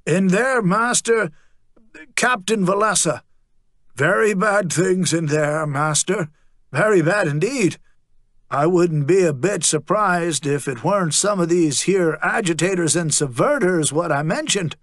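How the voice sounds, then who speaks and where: A man narrating a story and voicing a servile, rough-spoken male watch sergeant who keeps fawning over a superior. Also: sits high, a little slow, a bit bright, dry recording, studio quality. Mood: gossipy. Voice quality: rough, coarse working-class speech. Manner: servile, placating, wheedling.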